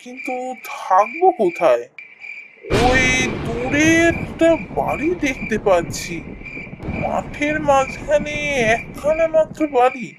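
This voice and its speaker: A man's voice: very high-pitched, big pitch swings, takes it slowly, noisy background, exaggerated voice. A man voicing a good-natured, worried young village cowherd in a cartoon, musing expressively with a Bengali accent. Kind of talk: cartoon voice acting, thinking aloud.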